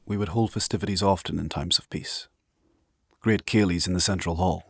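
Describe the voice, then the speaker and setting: Low-voiced solemn monk narrator. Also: male voice, steady tone, gravelly, husky, no room sound, clean studio sound, heavily acted. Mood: solemn, reflective. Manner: measured, reflective, formal. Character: solemn monk recalling his order's past. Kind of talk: audio drama narration.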